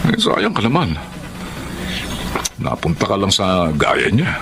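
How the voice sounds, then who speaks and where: A smug, scornful Filipino man in a radio drama, with a lowish voice that swings wildly in pitch. He mocks and coaxes. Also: wildly swooping pitch, slow, vocal fry, background noise, heavily acted. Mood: mocking. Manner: mocking, coaxing. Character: smug, scornful male friend with designs on wife.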